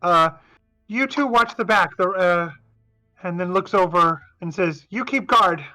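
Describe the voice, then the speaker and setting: A player in a tabletop game speaks in a very bright, forceful voice. The talk is chatty and upbeat. This player takes charge and gives the rest of the party orders. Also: medium pitch, measured pace, faint music behind, poor audio. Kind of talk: casual tabletop play.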